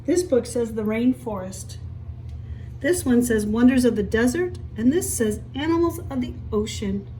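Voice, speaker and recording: Woman, children's storyteller, expressive, explanatory asides and simple questions, slightly distant mic, faint noise. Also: on the high side, normal speed. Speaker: children's story time teacher. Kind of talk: read-aloud picture book storytelling with asides to children. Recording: echoey room, distant mic, faint hiss.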